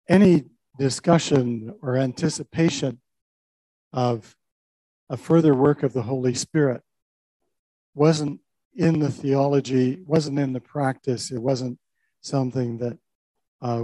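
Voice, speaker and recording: An older man, a pastor, recounts his own story in a warm, mellow voice. He talks reflectively, with small restarts along the way. The sound is close and dry. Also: mid-range voice, fairly even intonation, takes it slowly, pauses often, no room sound, rough recording. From Canada. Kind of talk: personal testimony talk.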